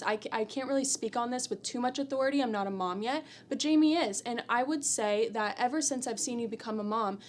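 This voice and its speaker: Earnest young American woman, faint rumble behind. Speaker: podcast co-host. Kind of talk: opinionated conversational podcast talk.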